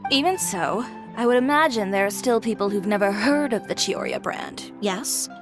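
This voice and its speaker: An actress playing a confident, brisk young female fashion designer in a video game, matter-of-fact and dryly firm. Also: on the high side, lively intonation, close to an everyday voice. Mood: businesslike, ambitious. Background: background music.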